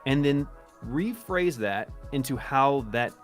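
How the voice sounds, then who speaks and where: A man at an ordinary mid pitch talks casually and haltingly, often correcting himself mid-sentence. Faint music runs softly in the background. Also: lively intonation. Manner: halting, restarts often, checks his notes. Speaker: artist host interviewing a guest. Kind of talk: casual video call chat.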